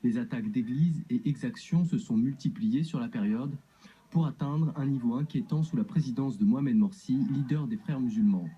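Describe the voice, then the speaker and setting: A man narrating a scripted TV news report in an even, measured way. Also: mid-range voice, husky, poor audio.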